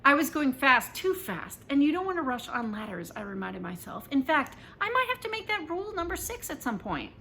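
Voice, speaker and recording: A woman with a fairly high voice reads a children's story in the first person. Her delivery is animated and dramatic, leaning on key words. There is noticeable low, rumbling background noise in the recording. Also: brisk, few pauses. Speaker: author reading aloud.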